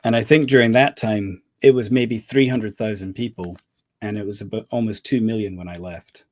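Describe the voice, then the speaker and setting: A man's voice: lowish voice, steady tone, moderate pace, darkish tone. A man in his forties who grew up in the Middle East, answering questions with easygoing dry humor. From Middle East, Scottish parents. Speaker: guest. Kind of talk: casual interview chat.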